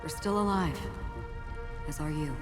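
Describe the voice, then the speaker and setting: Slow, composed swordswoman. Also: deep voice, in a large hall, music in the background. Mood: calm, firm. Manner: measured, composed, solemn. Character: calm, weary, enigmatic female swordswoman. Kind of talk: restrained video game acting.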